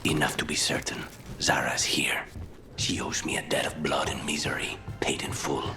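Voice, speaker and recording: A very low-voiced, guarded male assassin from a video game. He speaks in terse, brooding phrases that can sink close to a whisper, set on revenge. Soft music plays underneath. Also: level tone, normal speed. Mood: cold, vengeful.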